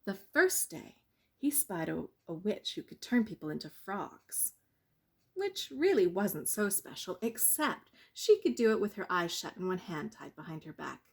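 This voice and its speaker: Husky woman reading a bedtime story. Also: on the low side, big pitch swings, a little slow. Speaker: storyteller reading a picture book.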